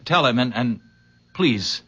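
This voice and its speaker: A man's voice: medium pitch, expressive, slow pace, noisy background. An actor playing an awed, nervous young man, earnest and stammering, in 1970s radio drama style. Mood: earnest, grateful. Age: young adult. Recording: dull vintage radio drama recording.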